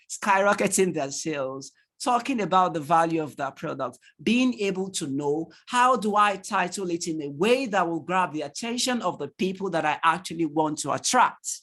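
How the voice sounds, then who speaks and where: A man's voice: a little high, expressive, moderate pace, a bit bright. A man with a Nigerian accent giving an online training lecture to parents, asking rhetorical questions and laughing often. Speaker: tutor.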